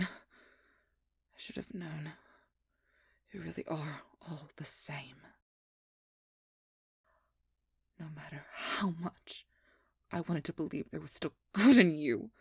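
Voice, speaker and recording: A woman playing a determined, wounded vampire hunter in an ASMR roleplay, with a breathy, husky voice that often drops near a whisper and an intimate, intense delivery. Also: low-pitched, no echo, clean studio sound, heavily acted. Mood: hurt, bitter. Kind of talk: ASMR roleplay audio drama.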